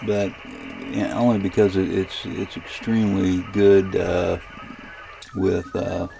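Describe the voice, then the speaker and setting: A man giving an informal, unhurried screen demonstration, thinking aloud as he goes, recorded inside a camper. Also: sits low, level tone, dark tone, soft background music, low-quality recording. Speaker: ham radio demonstrator and channel host. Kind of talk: casual screen-demo narration. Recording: clear background electrical and radio noise. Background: radio interference noise.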